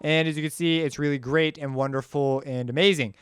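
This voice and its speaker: A man giving a casual, off-the-cuff software walkthrough as a tutorial host, informal and slangy, rambling as he goes. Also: fairly high pitch, steady tone, a little fast, smooth, no room sound, soft background music.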